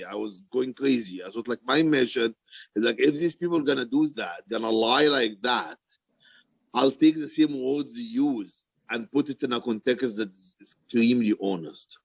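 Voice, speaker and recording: A man's voice: medium pitch, gravelly, breathy edge, no echo, lo-fi sound. A man, an author, talking candidly and passionately about his writing, emphatic and often repeating himself for stress. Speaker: author and poet answering audience questions. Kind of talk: animated, candid book-reading discussion.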